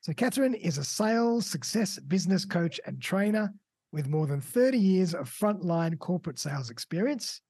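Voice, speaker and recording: A woman hosting a podcast, upbeat and full of quick encouraging remarks. Her voice is lowish and a little husky. The sound is dry and close. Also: lively intonation, mellow, dry recording. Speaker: podcast host. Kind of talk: casual podcast interview.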